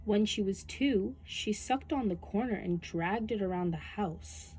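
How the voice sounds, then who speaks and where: A woman reading a children's picture book aloud as a storytime host, with deliberate, expressive storybook delivery. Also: mid-range voice, a little hiss or hum, rough recording.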